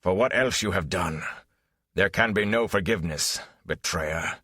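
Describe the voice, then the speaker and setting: A man's voice: low-pitched, a little slow, bright, forceful tone, no echo, studio quality, exaggerated voice. A man playing an anguished, proud and wrathful lord in a fantasy audiobook, speaking in a solemn, dramatic way. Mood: vengeful. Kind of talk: fantasy audiobook character acting.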